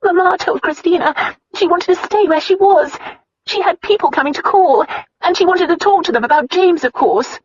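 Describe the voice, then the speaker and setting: A man voicing a distressed young noblewoman who wails high and tearfully in a storybook reading. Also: drawn-out words, few pauses, no echo, heavily acted. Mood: tearful wailing. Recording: slightly muffled dry recording.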